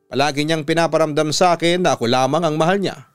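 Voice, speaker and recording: A Filipino man narrates a personal story in the style of a radio announcer. His voice sits a little high and is clean and resonant, with a measured, earnest delivery. The recording is dry, with faint music underneath. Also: clean tone, dry recording. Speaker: radio announcer reading listener letters. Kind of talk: scripted dramatic first-person story narration.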